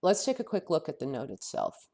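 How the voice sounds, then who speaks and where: A man with a head cold and a fading voice, teaching a software tutorial while he thinks aloud, halting often and correcting himself. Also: a little high, huge pitch swings, creaky. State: head cold, losing his voice. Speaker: software instructor. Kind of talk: screen-recorded software tutorial.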